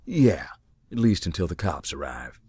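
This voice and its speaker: Actor as a low, dry, guarded ghost believer. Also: very animated, moderate pace, mellow, husky, dry recording, exaggerated voice. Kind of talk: visual novel voice acting.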